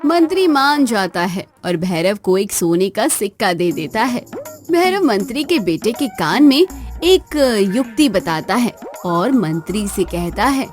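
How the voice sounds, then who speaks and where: जीवंत सुर वाली महिला कथावाचक. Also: female voice, mid-range voice, big pitch swings, unhurried, faint music behind. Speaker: narrator. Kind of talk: scripted story narration.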